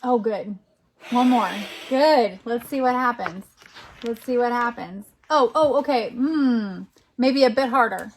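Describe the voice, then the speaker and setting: A woman's voice: fairly high pitch, unhurried, a little hiss or hum. A woman reading a picture book aloud to a young child as a teacher, with encouraging, exclamatory delivery. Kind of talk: interactive children's storytime read-aloud.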